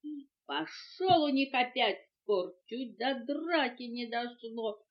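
An older woman reading a children's tale in an expressive storytelling style. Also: a little high, takes it slowly. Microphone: home cassette recorder. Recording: muffled home cassette recording.

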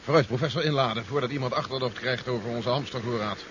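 Casting: male actor as practical military leader, medium-pitched, businesslike, old radio serial with muffled sound and clear background noise. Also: fast, fairly bright tone, lightly acted. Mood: practical, brisk. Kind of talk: 1970s Dutch radio drama acting. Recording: dull, noisy 1970s radio, vehicle sounds.

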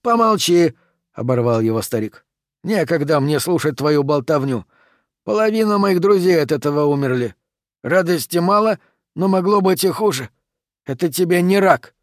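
A man's voice: a little high, animated, normal speed, dry recording, clean studio sound, natural voice. A man reading an audiobook and voicing a stern, dying old man who gives curt orders and prays through his pain. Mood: stern commanding.

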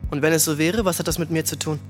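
An actress playing a defiant, sharp-tongued teenage girl in a radio drama, cheeky, slangy and combative. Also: low-pitched, fairly even intonation, fast talker, faint music behind, lightly acted. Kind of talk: naturalistic German radio drama acting.

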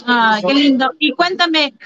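A woman's voice: sits high, a little slow, a loud crowd. A woman co-hosting a casual radio interview, asking short, curious questions. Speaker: radio co-host. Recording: dull lo-fi sound.

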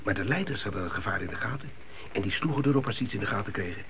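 An actor playing a chatty, scornful young emigrant son in a radio drama, speaking colloquially with repeated words. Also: male voice, fairly low pitch, expressive, very fast, bright, forceful tone. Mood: eager storytelling. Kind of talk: naturalistic radio drama dialogue. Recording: hissy old radio play recording.

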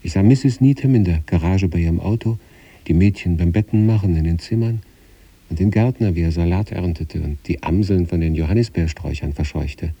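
A man reads literary first-person narration in a measured, contemplative way. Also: low voice, dark tone, husky, lo-fi sound. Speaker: narrator reading a literary story.

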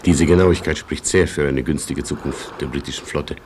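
An actor playing a proud, chivalrous Polish colonel in a German radio play, speaking with ceremonious, melodramatic formality. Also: male voice, extremely low pitch, steady tone, normal speed, a little mellow, slight background noise. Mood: insistent, formal.

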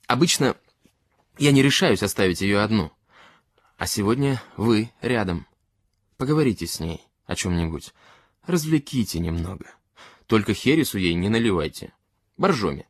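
A man voicing a small melancholy gentleman in a literary story, shy and eccentric, speaking hesitantly and confidingly with distinct words. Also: lowish voice, expressive, moderate pace, pauses often, breathy edge, no echo, studio quality. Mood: wistful, hesitant.

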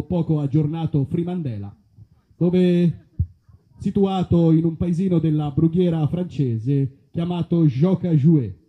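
A man's voice: fairly high pitch, phone-quality sound. A man narrating a live comedy reading in a theatrical, mock-epic, rambling manner. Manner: theatrical, absurd rambling lists.

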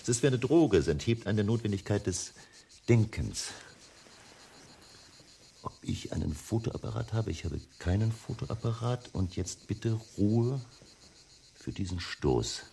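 An actor playing a suave, ironic, urbane hotel guest with a mocking manner in a radio drama. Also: male voice, fairly low pitch, expressive, measured pace, creaky, long pauses, mellow, slight background noise. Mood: amused, ironic. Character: suave, mocking male hotel guest, leisurely billiard player. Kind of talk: German radio drama acting.

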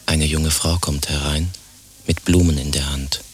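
A man playing a terse, dry narrator who reads stage directions in clipped, deadpan phrases for a radio play. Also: rumbling low, measured pace, husky, exaggerated voice. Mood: dry, detached.

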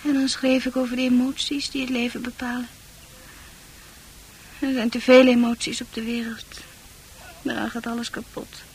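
Casting: dreamy, troubled young female confidante for Dutch radio drama, high-pitched, poetic and earnest. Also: level tone, normal speed, pauses often, breathy edge, poor audio. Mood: pensive, intense. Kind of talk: Dutch radio play acting.

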